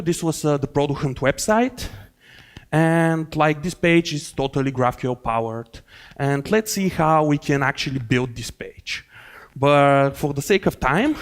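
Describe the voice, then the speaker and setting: A man with a Bulgarian accent giving a technical conference talk in a hall, explaining in a loose way with many fillers and restarts. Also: on the high side. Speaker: conference speaker.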